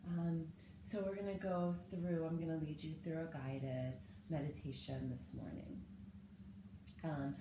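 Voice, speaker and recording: A woman in her thirties, slightly hoarse, calmly leading a guided yoga and breathing session from her living room. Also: low voice, a little slow. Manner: calm, gentle cueing with breath. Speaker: yoga and meditation teacher. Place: living room at home, indoors. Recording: echoey home room, faint background noise.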